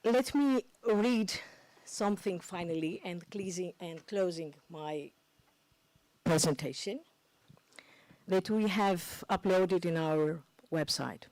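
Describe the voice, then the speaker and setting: A woman's voice: fairly low pitch, a little mellow, no echo, rough recording. A woman giving a seminar presentation, searching for words, then reading a closing text aloud. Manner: halting, searching for words with many fillers. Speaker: speaker presenting a street work organisation. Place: press club seminar room.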